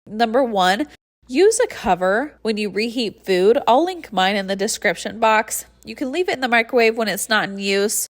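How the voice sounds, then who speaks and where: A woman's voice: on the high side, animated, normal speed. Stuffy-nosed, nasal woman. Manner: friendly and chatty, with light laughs and heavy breathing. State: congested, stuffy nose. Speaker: cleaning channel host. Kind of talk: casual instructional voice-over.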